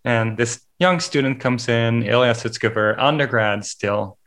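A man's voice: medium pitch, measured pace, fairly bright tone. A man hosting a podcast, asking questions in an enthusiastic, chatty way and often repeating words as he starts a thought. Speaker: podcast host. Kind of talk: conversational interviewing.

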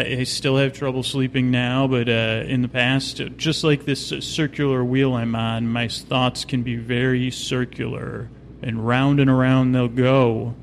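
A man hosting a sleep podcast in a meandering, hesitant, slightly goofy soothing monologue, recorded on location inside an observation wheel cabin. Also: gravelly. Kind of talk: rambling, soothing bedtime monologue. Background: low ambient hum, faint.

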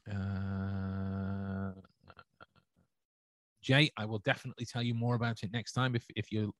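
A man with a low voice hosts a solo podcast stream, reading chat messages and answering at length. He circles back often, restarting sentences and filling gaps with ums. The recording is close and dry, with no room sound. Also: completely flat delivery, measured pace, no echo. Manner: rambling, many restarts and fillers. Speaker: podcast host.

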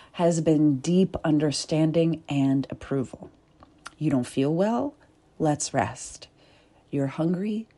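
Woman, low and mellow voice, soft and deliberate, reflective coach delivering a spoken essay. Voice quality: deep and soft. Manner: soft, deliberate, with pauses and whispered asides. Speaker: body-work coach.

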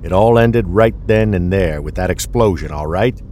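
An actor playing a gruff, weary older police detective with a husky voice in an audio drama. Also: male voice, low-pitched, normal speed, a little mellow, slight background noise, lightly acted. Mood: bitter, irritable.

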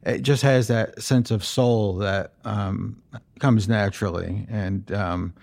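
Middle aged guy interviewer, lowish voice, chatty, lots of ums and compliments. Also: fairly even intonation, slow, creaky, darkish tone. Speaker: radio interviewer.

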